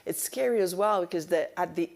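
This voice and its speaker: Woman moderator, low voice, hesitant and thoughtful. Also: a little fast, creaky, darkish tone. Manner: thoughtful, many fillers and restarts. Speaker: panel moderator. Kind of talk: conference panel discussion.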